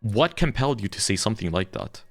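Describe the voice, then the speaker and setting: Deep-voiced young man, blunt heated rant, low pitch, soft music underneath. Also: lively intonation, quick pace, vocal fry, no echo. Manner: frank and heated, swears freely. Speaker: VTuber streamer. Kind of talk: candid ranting monologue.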